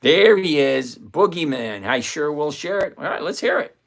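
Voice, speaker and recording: A man with a gravelly, rough-edged voice that sits a little high for a man. He hosts a live trading stream from a home desk full of screens and talks through his trades out loud. He answers viewers by name in a loose, chatty way. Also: expressive, normal speed. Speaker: livestream host and options trader. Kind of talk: live-stream trading commentary, reading chat aloud.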